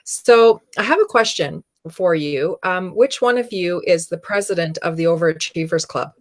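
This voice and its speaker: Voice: mid-range voice, normal speed. An interview host with a mid-range voice speaks effusively and with enthusiasm, full of praise. The talk is loose and full of fillers, over a video call. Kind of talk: business interview hosting.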